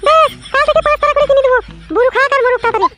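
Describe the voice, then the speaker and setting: A woman voicing a grumbling young village daughter-in-law in a comedy cartoon, with an artificially shrill, squeaky voice. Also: racing. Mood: grudging. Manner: complaining, scolding. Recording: music playing behind the voice.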